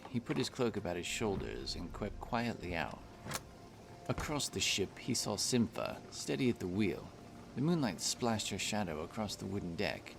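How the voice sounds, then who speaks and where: A man's voice: lowish voice, vocal fry, noisy background. A man giving scripted narration of a fantasy story as its narrator.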